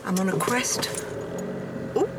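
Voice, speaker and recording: A woman with an English accent talking to herself in an excitable, exclamatory way, as in a spontaneous audio diary recorded out and about. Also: mid-range voice, slow. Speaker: performance artist narrating her own outing. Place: outdoors, in a moving car and shops. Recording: distant mic, loud car and street noise. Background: loud traffic and vehicle ambience.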